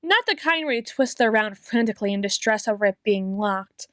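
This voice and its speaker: A woman playing an obsessive, menacingly tender doctor in an ASMR roleplay, speaking in a deliberate, theatrical monologue with drawn-out words. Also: medium pitch, lively intonation, fairly bright tone, dry recording, clean studio sound, lightly acted. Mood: quiet menace. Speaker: audio roleplay performer.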